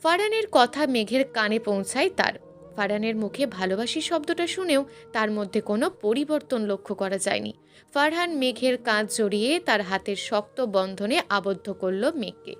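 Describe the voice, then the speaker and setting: A woman's voice: fairly high pitch, animated, normal speed. A woman reading a scripted story as a narrator, with dramatic, emotive delivery. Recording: music bed under the narration. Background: background music.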